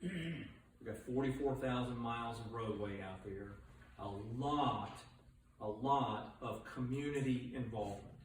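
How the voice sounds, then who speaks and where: A man's voice: medium pitch, a little slow. A man from a state transportation agency answering questions at a public meeting, often stopping to repeat words. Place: large meeting room. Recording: echoey room, distant mic, noisy.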